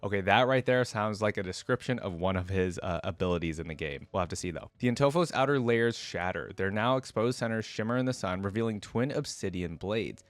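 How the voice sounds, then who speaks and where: A man's voice: on the low side, a little fast, few pauses, no echo. A man who creates reaction videos, reading a story aloud expressively and dramatically, with casual asides.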